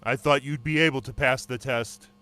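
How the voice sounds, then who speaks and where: A man voicing an unmasked male agency interrogator, flat and matter-of-fact. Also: mid-range voice, normal speed, lightly acted. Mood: cold.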